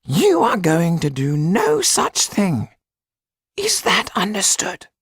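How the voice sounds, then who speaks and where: A man voicing a small, affectionate, excitable older man in an audiobook, with a high, husky, creaky voice and an emphatic, fussing manner. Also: takes it slowly, no echo, studio quality, exaggerated voice. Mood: firm. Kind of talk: audiobook character acting.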